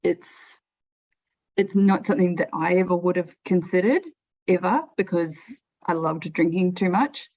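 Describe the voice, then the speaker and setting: A woman's voice: fairly low pitch, moderate pace, a little mellow. A woman from New Zealand with a New Zealand accent, telling her story as a podcast guest in a frank, chatty way. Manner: chatty, frank, frequent restarts and "like". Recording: lo-fi remote audio.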